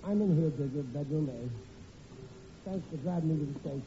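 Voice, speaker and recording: An actor playing a hapless, blustery bridegroom in an old radio comedy, speaking in a flustered, colloquial way. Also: male voice, on the high side, normal speed, lightly acted. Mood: harried. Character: hapless, panicky working-class bridegroom in trouble. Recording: muffled, hissy vintage radio recording.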